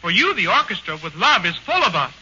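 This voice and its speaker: A man's voice: sits high, very animated, fast talker, bright, forceful tone, slightly rough. A man with a naive, chirpy manner trading lines in a 1940s radio comedy. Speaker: singer and comic regular. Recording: dull noisy 1940s radio broadcast.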